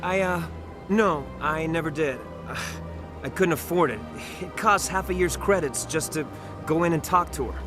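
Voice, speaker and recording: An awkward young soldier from a video game, voiced by a man, with a fairly high voice over clear music. He sounds naive and nervous, hesitating and getting flustered. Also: unhurried, natural voice.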